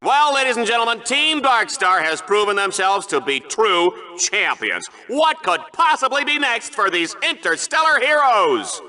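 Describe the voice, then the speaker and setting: A flamboyant video game bloodsport host with a piercing, very bright voice. He pitches high and swings wildly up and down. Every line comes out as bombastic, showy sports hype with a smarmy streak. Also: big pitch swings, moderate pace, few pauses, full character voice. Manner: bombastic, showy announcer delivery. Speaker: game show commentator. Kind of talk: over-the-top sports commentary.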